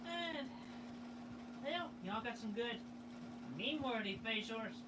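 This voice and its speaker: A high-voiced woman signs off to her viewers with excitable exclamations. Her voice comes from far off the microphone in a replayed clip, and clear noise sits under it. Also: measured pace. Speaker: video creator. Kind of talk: casual sign-off to viewers. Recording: distant noisy replayed video audio.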